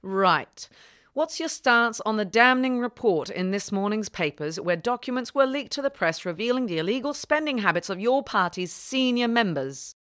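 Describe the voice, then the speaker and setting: An actress playing a self-assured female newspaper reporter who asks crisp, needling questions. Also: on the high side, animated, normal speed, a bit bright.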